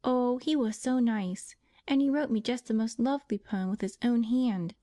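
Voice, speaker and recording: A brave young working woman talks soothingly to her sick sister, voiced by a female reader. Her voice sits a bit higher than the narration, mellow and dry, like an old-fashioned storybook. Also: moderate pace, no room sound, natural voice. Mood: reassuring.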